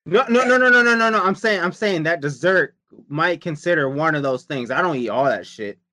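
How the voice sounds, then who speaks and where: A man's voice: fairly high pitch, animated, fairly quick, bright, forceful tone, no room sound, clean studio sound. A man chatting casually about his diet and workouts, rambling and profane, often repeating himself. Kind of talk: casual chat.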